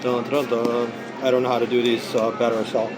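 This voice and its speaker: Hoarse male vlogger, rambling and filler-heavy, mid-range voice, noisy café with music in the background. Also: medium pitch, completely flat delivery, brisk. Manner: rambling, many ums and uhs, digressive. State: hoarse from singing practice. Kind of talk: casual unboxing vlog. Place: inside a busy coffee shop. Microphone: camera on small tripod. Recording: noisy coffee shop with music playing. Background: background music and cafe noise.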